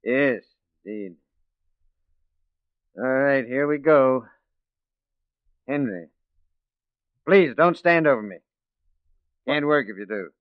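An actor playing a bitter, self-possessed ex-convict forger with a clipped, worldly manner in a 1940s radio drama. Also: male voice, medium pitch, animated, slow pace, long pauses, dry recording. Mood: cool, polite. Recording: muffled 1940s radio recording.

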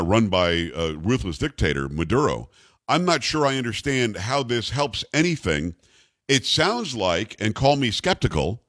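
A man's voice: medium pitch, fairly bright tone, no room sound, clean studio sound. An American man hosting political talk radio, giving opinionated commentary in an emphatic, incredulous and sarcastic manner. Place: radio studio.

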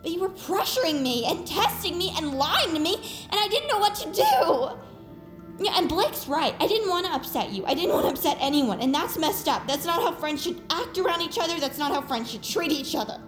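A woman performs a fed-up, emotional teenage girl in a very high voice. The delivery is earnest and exasperated, as in audio drama, with echo and music underneath. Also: sing-song, moderate pace, a bit bright, heavily acted. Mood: hurt, earnest. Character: fed-up, conflict-avoiding teenage girl finally speaking her mind.

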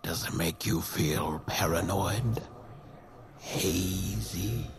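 An actor playing a sinister, teasing horror storyteller with a gravelly, insinuating, menacing delivery in an audio drama. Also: male voice, low-pitched, drawn-out words, slight background noise, exaggerated voice. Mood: sly, insinuating. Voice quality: gravelly, breathy, whispery in places. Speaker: horror anthology host. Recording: music behind voice.

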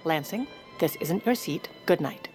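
An actress playing a suspicious, commanding First Lady, brisk and sardonic, in an audio comedy drama. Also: on the low side, lively intonation, a little mellow, soft background music. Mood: brisk, determined.

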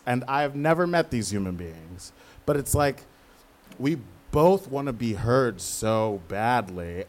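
A man answering as an interviewed playwright, candid and conversational, thinking aloud with emphatic asides. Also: medium pitch.

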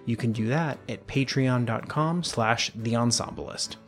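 A man's voice: mid-range voice, measured pace. A man hosting a podcast, reading scripted introductions and closing credits. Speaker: podcast host and producer. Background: music bed with guitar.

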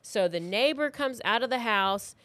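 A woman's voice: on the high side, expressive, moderate pace, fairly bright tone, no echo. A woman in her thirties hosting a podcast, telling a true crime story in a casual, loosely worded conversational way. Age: 30s. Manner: informal, loosely worded, acts out reported remarks.

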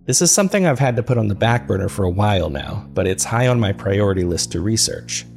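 A man playing an earnest, uneasy paranormal podcast host, narrating a documentary-style recap in a measured, confiding way with a creaky, low voice. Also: expressive, a little mellow, soft background music. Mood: earnest, wary.

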